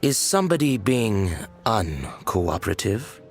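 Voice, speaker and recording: A low-voiced villain delivers formal threats at a slow, deliberate pace. He sounds cold and controlled, a menacing game antagonist with expressive swings in tone. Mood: cold, threatening. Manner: measured, formal, veiled menace. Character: menacing male agent of a powerful faction, coldly threatening. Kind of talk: video game villain acting.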